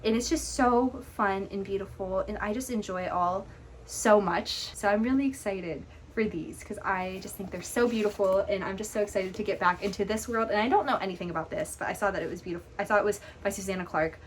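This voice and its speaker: A woman book vlogger with a hoarse, fading voice, chatting casually and rambling with lots of fillers and restarts. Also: mid-range voice, a little fast, a little hiss or hum. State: hoarse, losing her voice. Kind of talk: casual chat.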